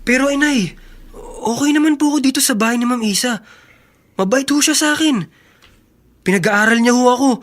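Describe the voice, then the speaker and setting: An actor playing a respectful, troubled young son and student in radio drama dialogue, speaking hesitantly. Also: male voice, very high voice, a little fast, long pauses, a bit bright. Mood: pleading, confused.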